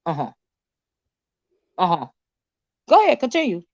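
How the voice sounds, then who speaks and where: A woman hosting a casual livestream with sassy, animated, teasing talk and frequent laughter. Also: lowish voice, normal speed, no echo, dull audio. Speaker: channel host.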